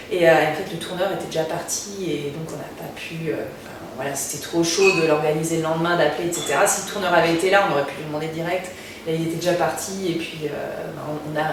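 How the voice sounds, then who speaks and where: French-speaking woman with a low voice in a very echoey room. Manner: halting, many fillers and restarts. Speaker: singer being interviewed. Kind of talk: casual interview answers. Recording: very echoey room, distant mic, noticeable background noise.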